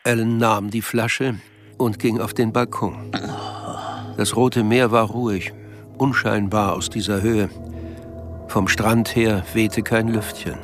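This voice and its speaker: A man's voice: fairly low pitch, level tone, slow, pauses often, soft background music. A man reading scripted literary narration as the narrator of a radio drama.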